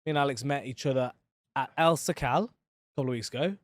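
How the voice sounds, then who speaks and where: A man co-hosting a podcast, animated and quick to laugh, his voice dropping low at phrase ends. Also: no room sound, clean studio sound. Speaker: podcast co-host. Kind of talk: casual chat.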